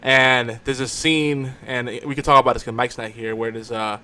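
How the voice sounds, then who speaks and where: A man's voice: medium pitch, flat delivery, normal speed, pushed and bright, clear, resonant tone. A man hosting a podcast in casual chat, talking like an enthusiastic fan. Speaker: podcast host.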